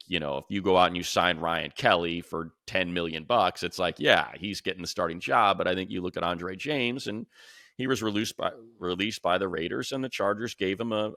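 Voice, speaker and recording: A man's voice: sits low, vocal fry, pushed and bright, dry recording, clean studio sound. A man hosting a sports talk show, casually analysing in an emphatic, conversational way and repeating points for stress.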